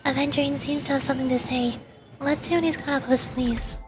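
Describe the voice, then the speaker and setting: A woman's voice: high-pitched, steady tone, a little fast. A woman streamer playing a video game, musing aloud in casual commentary and reading dialogue out. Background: game music.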